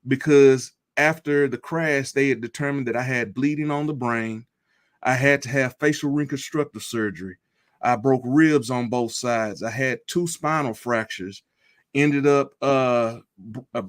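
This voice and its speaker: A man speaking as an interview guest, telling his story in an earnest, deliberate and reflective way. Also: medium pitch. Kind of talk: personal testimony in an interview.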